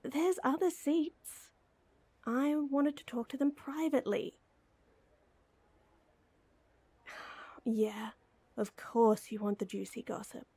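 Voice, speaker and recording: A woman's voice: high-pitched, a little slow, vocal fry, dark tone, slightly rough, no room sound, heavily acted. A woman playing a flustered, lovestruck young woman in an intimate audio roleplay, hesitant and teasing. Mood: exasperated.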